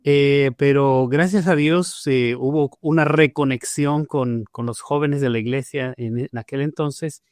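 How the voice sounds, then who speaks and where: A man's voice: mid-range voice, steady tone, a little slow, hardly any pauses, a little mellow, no room sound, studio quality. A man from Mexico, a pastor telling his conversion story in a podcast interview, speaking with frequent "eh" fillers and restarts. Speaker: pastor, guest.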